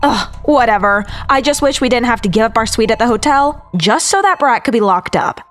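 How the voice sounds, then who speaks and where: A high-voiced, scheming young woman, played by an actress, spiteful and whiny. She talks with open disdain in an audio melodrama, with clear dramatic music underneath. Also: lively intonation, fairly quick, lightly acted. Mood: disdainful, annoyed.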